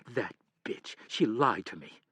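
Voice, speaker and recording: A man's voice: mid-range voice, expressive, a little fast, no room sound, close to an everyday voice. A man voicing a fiery young wife who fires off demanding, accusing questions, in an audiobook reading. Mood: angry.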